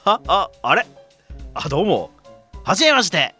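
A man's voice: high voice, sing-song, moderate pace, pushed and bright, breathy edge, faint music behind, exaggerated voice. A man playing a drunken, pushy middle-aged train passenger in a radio drama, rambling and repeating himself chummily. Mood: bumbling, surprised. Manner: rambling, repetitive, stammering, over-familiar.

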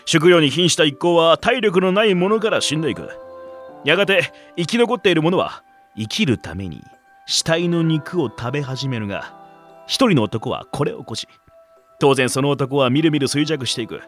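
An actor playing a gruff, playful middle-aged stranger with a quietly menacing side, talking roughly and teasingly in a radio drama. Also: male voice, fairly high pitch, expressive, normal speed, faint music behind. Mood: grave storytelling. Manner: rough colloquial speech, teasing, instructing. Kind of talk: radio drama dialogue and storytelling.

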